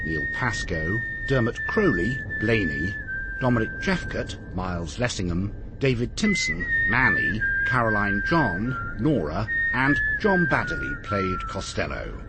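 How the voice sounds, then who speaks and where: British male announcer, low-pitched, very varied intonation, formal credits read over loud background sound. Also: very noisy. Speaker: radio announcer. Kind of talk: scripted closing credits read.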